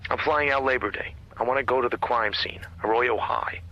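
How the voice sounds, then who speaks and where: An actor playing a driven, obsessive writer on a hunt, speaking in terse, insistent lines in a radio drama. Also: male voice, medium pitch, fairly quick, muffled recording, close to an everyday voice. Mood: determined. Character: driven, obsessive male writer hunting a killer.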